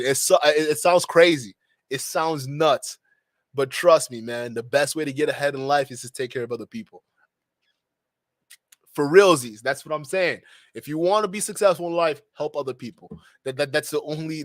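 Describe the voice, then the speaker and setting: A young man from Nigeria hosting a live stream, giving an animated, preachy monologue with slangy asides. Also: mid-range voice, dry recording.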